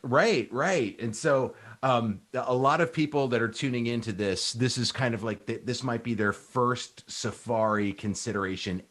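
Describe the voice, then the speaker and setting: A man's voice: mid-range voice, normal speed, hardly any pauses, a bit bright. A man hosting a podcast as a casual, chatty interview, talking in an informal, slangy way. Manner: informal, slangy, mild swearing. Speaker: podcast host.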